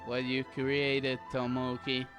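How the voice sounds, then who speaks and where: Casual playthrough commentator, unhurried and middle-pitched, with game music behind. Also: completely flat delivery. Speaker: game player.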